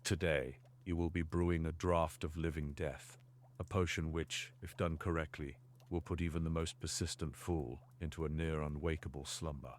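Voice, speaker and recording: Very deep, cold potions master. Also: male voice, flat delivery. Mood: stern. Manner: deliberate, clipped, condescending. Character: stern, sardonic male potions master, cold and exacting. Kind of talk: fan audio drama acting.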